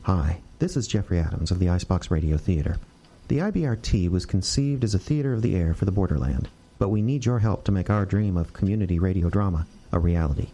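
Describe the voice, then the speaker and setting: A man with a fairly low, dark and relaxed voice speaks as host. He reads a scripted appeal straight to listeners. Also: fast. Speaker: theater company host.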